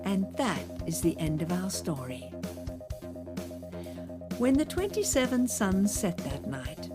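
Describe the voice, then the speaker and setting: A woman narrates a children's tale at an unhurried pace. Her intonation rises and falls expressively, and music plays underneath. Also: medium pitch. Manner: expressive, measured storytelling. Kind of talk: scripted children's storytelling.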